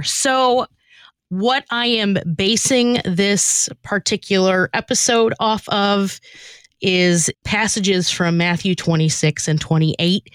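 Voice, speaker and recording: A woman's voice: on the low side, smooth, no room sound. A woman podcast host chatting casually as she introduces material she has written. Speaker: podcast host and skit writer. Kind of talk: casual chat.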